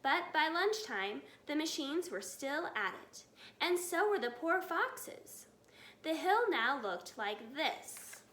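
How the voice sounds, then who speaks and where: A woman giving an expressive children's read-aloud. The voice is high and very animated, taken at an unhurried pace. The room adds a noticeable echo. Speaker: storybook reader.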